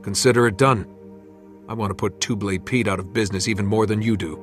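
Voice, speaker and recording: An actor playing a determined, loyal young streetwise hero in a video game, with a husky voice. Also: male voice, lowish voice, fairly even intonation, fast, lightly acted. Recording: music behind the voice.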